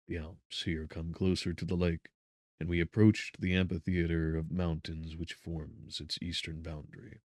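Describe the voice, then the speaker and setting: Very deep-voiced man narrating solemnly. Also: a little mellow, no echo, studio quality. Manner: measured, solemn, theatrical delivery. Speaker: audiobook narrator. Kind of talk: dramatic scripted narration of a gothic novel.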